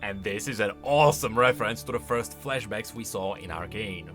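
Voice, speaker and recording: A man giving casual, expressive commentary as a video host, thinking aloud as he goes. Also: on the low side, moderate pace, music in the background.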